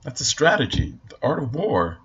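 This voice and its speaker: A man's voice: medium pitch, very animated, normal speed, a bit bright, husky, lo-fi sound. A man from Northern Nigeria, a native Hausa speaker, giving a blunt, impassioned opinion rant to camera in a casual vlog. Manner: blunt, impassioned, repeats for emphasis. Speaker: vlogger.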